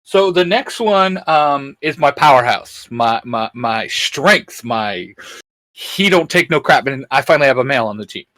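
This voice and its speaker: A man co-hosting a geek show in casual fan chat, enthusiastic and halting, often repeating his words. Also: mid-range voice, expressive, fairly bright tone, no echo. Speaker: co-host of a geek culture show.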